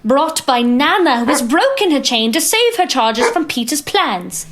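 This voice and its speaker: A woman's voice: high voice, very animated, unhurried, fairly bright tone, exaggerated voice. A woman narrating an audio drama in scripted, dramatic storytelling style.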